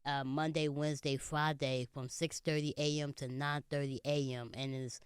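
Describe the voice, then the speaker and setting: A man's voice: on the high side, flat delivery, normal speed, clean tone, no room sound, studio quality. A man in his late twenties, a comedian guest in a casual interview, talking earnestly and often saying "you know". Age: 20s. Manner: earnest, speaks of faith, many "you know" fillers.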